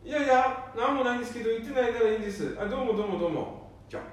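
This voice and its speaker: A man playing a gruff middle-aged son with a Nanbu dialect in an amateur radio drama. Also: very high voice, racing. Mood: flustered. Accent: Nanbu dialect, northeastern Japan. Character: middle-aged eldest son, gruff, embarrassed by his father. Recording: very echoey, distant, faint noise.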